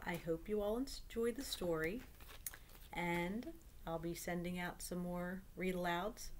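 A woman's voice: on the low side, fairly even intonation, measured pace, slight background noise. A middle-aged woman reads a children's picture book aloud as a storyteller at home. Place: small room at home.

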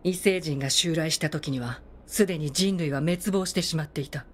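Woman acting as grave female android commander in a game, low authoritative voice, hum in the background. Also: normal speed, natural voice. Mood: grave, resigned. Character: stern, weary adult female android military commander. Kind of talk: dramatic video game acting.

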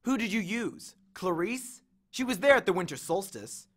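A man reads as a young boy hero in an audiobook, earnest and defiant. He speaks bluntly in a fairly high, bright voice. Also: expressive, normal speed. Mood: accusing. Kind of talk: audiobook character voice.

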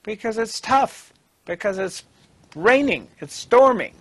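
A middle-aged man giving a spiritual discourse as a teacher, emphatic and deliberate, with pauses. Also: high-pitched, animated, fairly bright tone, no room sound. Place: small dark indoor studio. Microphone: lapel mic.

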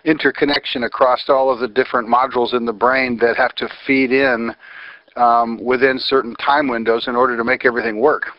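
A man's voice: mid-range voice, level tone, brisk, dry recording. An American man hosting a phone interview, earnest and wordy with frequent fillers. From United States. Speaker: interviewer, host. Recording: dry, slightly muffled phone recording.

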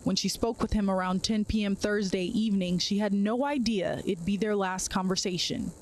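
A woman news reporter delivering a measured report, partly live from outdoors with a handheld mic. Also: mid-range voice, fairly even intonation, darkish tone, a little hiss or hum. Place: outdoors at a gas station.

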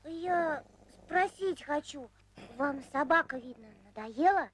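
Very high-voiced little boy. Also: lively intonation, slow pace. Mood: innocent. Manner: childlike, inquisitive. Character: small curious, earnest boy, about six. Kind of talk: animated film dialogue.

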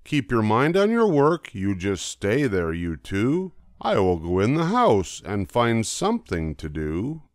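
A carefree, mischievous storybook tomcat, acted out by an older man, showy and pleased with himself. He talks slowly in a lowish voice with big swoops of pitch. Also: huge pitch swings, no room sound, studio quality. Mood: breezy, dismissive. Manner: breezy, showy, chuckling. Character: jolly, boastful, carefree mischievous male storybook cat.

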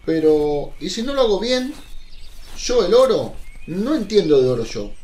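A man's voice: high voice, big pitch swings, unhurried, darkish tone. A man acting a humble, emotional old fisherman apostle in a religious radio drama, plain-spoken and unsure of himself. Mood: curious, unsure. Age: older. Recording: noisy, birdsong ambience behind.

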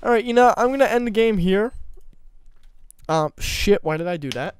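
A young man doing casual gameplay commentary from a small room at home, his voice going hoarse, rambling and joking at himself with sudden loud laughing outbursts. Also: sits high, lively intonation, normal speed. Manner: rambling, self-mocking, bursts into loud laughing exclamations. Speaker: gaming YouTuber.

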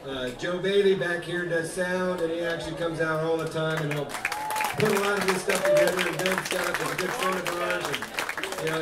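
A man's voice: a little high, distant mic, very noisy. A man hosting a live music venue, making loose, folksy announcements to the audience between sets. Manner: loose, rambling and folksy, with many fillers. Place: small barn-style music venue with audience. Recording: roomy live hall, voice from afar, crowd chatter.

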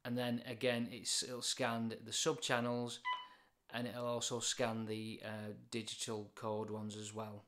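A man's voice: medium pitch, completely flat delivery, measured pace, fairly bright tone, slight background noise. British man giving a product demonstration. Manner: plain, matter-of-fact explaining. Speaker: product reviewer.

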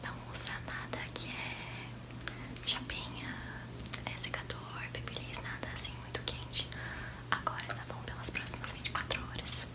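A young woman with a Brazilian accent doing a soft, soothing ASMR roleplay as a salon attendant, speaking slowly and close to the mic. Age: young adult. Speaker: ASMR creator playing a hair salon attendant. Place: small bedroom-like room, indoors.

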